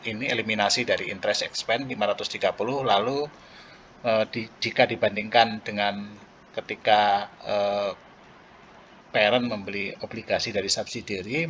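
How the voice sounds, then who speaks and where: A man giving an explanatory lecture in a halting way, pausing mid-phrase, on a muffled recording. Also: on the low side, a bit bright, slight background noise. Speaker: accounting lecturer. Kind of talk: explanatory lecture walking through worked journal entries.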